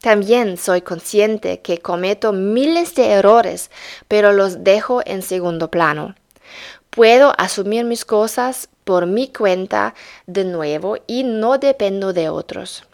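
A woman's voice: medium pitch, slow pace, creaky, a little mellow. A young German woman, speaking Spanish she learned as an adult, tells her story in earnest and introduces her German courses. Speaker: German teacher.

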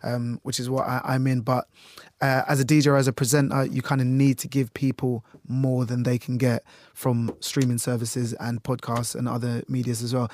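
A Jamaican man in a radio presenter's easy, loose chat, with a dry sense of humour. His voice sits at a middle pitch. The recording is close and dead dry, with no room sound. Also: level tone, normal speed, few pauses, darkish tone, no echo. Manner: loose, dry and chatty, with frequent fillers. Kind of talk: relaxed interview chat.

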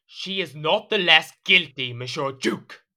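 A man's voice: on the high side, a little slow, sharp tone. An actor playing a petulant, jealous French king in a period audio drama, speaking in an imperious, indignant, theatrical manner. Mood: suspicious.

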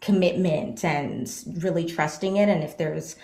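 A woman actress talking about her career as a guest in a casual interview, with lots of "um" fillers and animated laughs. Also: sits low, unhurried, creaky. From Bahamas, raised in Canada. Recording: roomy echo.

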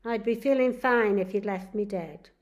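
Woman reading as a grouchy old man in a storybook, snappish, lively pitch, unhurried. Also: full character voice. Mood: grumbling. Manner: grumbling, snappish. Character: grumpy older man, stubborn and weary.

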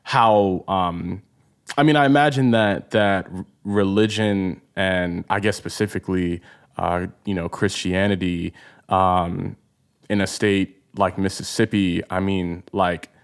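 Lowish-voiced man hosting a casual interview. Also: fairly even intonation, clean tone. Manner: halting, circles around his questions, frequent affirmations. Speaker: podcast host, interviewer.

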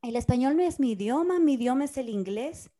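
A woman's voice: high-pitched, brisk. A Dominican woman in her thirties co-hosting a casual podcast, telling stories and acting out what people said. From Dominican Republic. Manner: animated storyteller, acts out quoted dialogue. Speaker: podcast co-host. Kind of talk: casual conversation.

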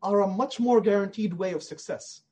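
Male indie developer, high-pitched and slightly raspy, earnest and emphatic in a conversational interview. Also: moderate pace, warm tone. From Middle East.

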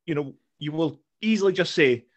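A man's voice: on the high side, expressive, a little fast, lo-fi sound. A Scottish man chatting casually, blunt and sweary, rambling with restarts. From Scotland. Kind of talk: casual chat.

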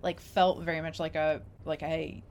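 A woman in casual, reflective conversation, telling stories in an animated way and acting out imagined quotes. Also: sits low, moderate pace, slight background noise.

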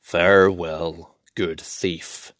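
A man voicing a dying male dwarf king who speaks slowly and gravely. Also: deep voice, level tone, fairly bright tone, no room sound, pitched below the performer's own voice, full character voice. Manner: slow, grave. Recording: dry close recording.

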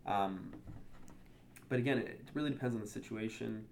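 A man's voice: on the low side, normal speed, gravelly, slight background noise. A native English-speaking man talking candidly and thinking aloud in a casual interview. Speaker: interview guest. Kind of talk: casual conversation.

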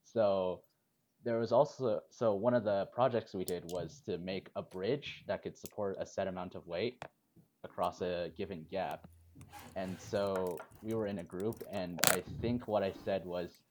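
A man of twenty in a casual group chat, telling a relaxed story and laughing often. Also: sits low, unhurried, slight background noise.

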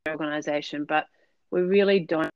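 A woman with a lowish voice talks through her business ideas in an earnest, explanatory way, dotting her sentences with fillers. The sound is dull and lo-fi, with a close, dry quality and no room around it. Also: fairly even intonation, normal speed, dry recording. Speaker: podcast guest, business consultant. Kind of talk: conversational interview answers.

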